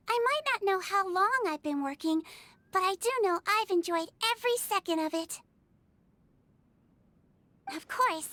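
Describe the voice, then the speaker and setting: A woman voicing a small, cheerful, caring melusine nurse girl in a video game, with a sweet, polite and gently quirky manner. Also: very high-pitched, no room sound, exaggerated voice. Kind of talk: animated video game character voice acting.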